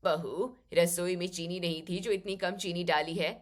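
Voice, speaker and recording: A woman's voice: lowish voice, fairly even intonation, normal speed, voice pushed down, close to an everyday voice. A woman playing a stern, commanding older mother-in-law who speaks in curt, authoritative pronouncements in an audio story. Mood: demanding.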